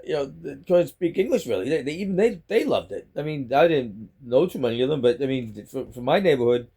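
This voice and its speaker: A man's voice: mid-range voice, sing-song, a little fast, dark tone, husky. A middle-aged man with a Boston accent, rambling casually to a phone on a selfie stick at home. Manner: chatty, rambling, repeats himself. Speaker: vlogger. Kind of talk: rambling casual talk to camera.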